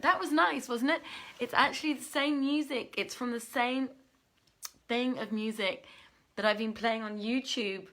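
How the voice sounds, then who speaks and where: A woman's voice: on the high side, sharp tone. A middle-aged woman hosting a live-streamed reading, chatting casually and playfully to viewers with theatrical, giggly asides. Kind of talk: casual livestream chat greeting viewers.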